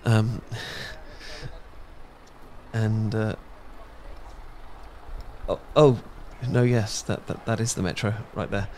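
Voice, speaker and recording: A man's voice: on the low side, fairly even intonation, slow pace, pauses often, darkish tone, a little hiss or hum. A young British man in a romantic audio roleplay, talking shyly with many fillers and restarts. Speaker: ASMR audio roleplay performer.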